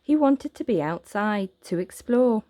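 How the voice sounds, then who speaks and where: A woman reading a children's story aloud as narrator, with gentle, expressive, deliberate phrasing. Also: mid-range voice, dry recording.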